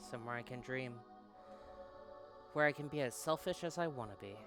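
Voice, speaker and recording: A defiant young dreamer, acted by a man, speaking in a mid-pitched voice with quiet, wistful stubbornness. Loud music plays behind this visual novel reading. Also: brisk, lightly acted.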